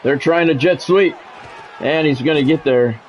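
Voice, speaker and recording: A man's voice: a little high, moderate pace, a faint crowd, a little hiss or hum, low-quality recording. An American man doing football play-by-play on a gaming stream, mixed with casual chat. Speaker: gaming streamer calling play-by-play of own game; former radio sports play-by-play announcer.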